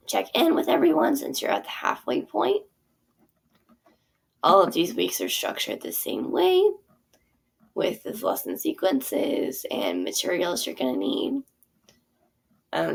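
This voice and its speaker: A woman's voice: lowish voice, creaky, husky. A woman teacher casually walking through her theater curriculum, chatty and full of fillers. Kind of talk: casual walkthrough of a product.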